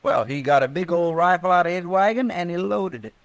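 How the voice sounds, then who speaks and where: Old radio western sidekick: garrulous, deferential deputy, creaky highish voice. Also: male voice, animated, fairly quick, no room sound. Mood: suspicious, eager. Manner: rambling, deferential, folksy. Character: folksy, earnest, garrulous deputy of a western marshal. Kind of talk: 1950s western radio drama acting.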